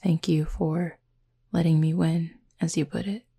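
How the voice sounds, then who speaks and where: Woman playing a low, husky, gentle cupid roommate. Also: level tone, full character voice. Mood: tender, calm. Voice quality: soft, breathy, slightly husky. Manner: measured, formal wording, gently teasing. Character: gentle, formal cupid roommate with a tail, quietly fond. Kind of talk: intimate roleplay audio acting, addressed to listener.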